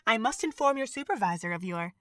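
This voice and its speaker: A woman's voice: a little high, lively intonation, quick pace, no echo, clean studio sound, close to an everyday voice. A woman playing a prim, dutiful artificial assistant in an audio drama, speaking formally and precisely.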